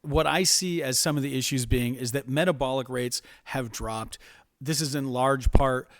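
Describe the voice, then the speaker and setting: An American man with a mid-range voice presents health information informally. He explains methodically and in a measured way, and the sound is dry with very little room to it. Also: mid-range voice, dry recording. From United States.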